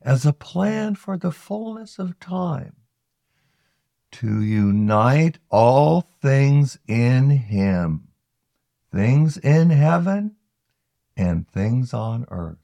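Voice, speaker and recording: A man reading scripture aloud solemnly, with measured, deliberate phrasing and frequent pauses. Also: medium pitch, very animated, vocal fry, warm tone, no echo. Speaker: scripture reader.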